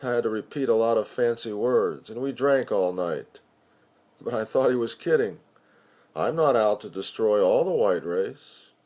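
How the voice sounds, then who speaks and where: A man reading a narrative poem aloud in a measured storytelling manner with pauses. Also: lowish voice, animated, a little mellow. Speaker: poetry reader. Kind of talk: dramatic spoken-word poetry reading.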